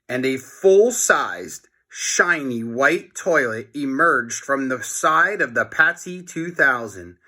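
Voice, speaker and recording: A man reading a story aloud to children in an expressive way, speaking directly to his young listeners. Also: medium pitch. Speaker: children's book reader, teacher.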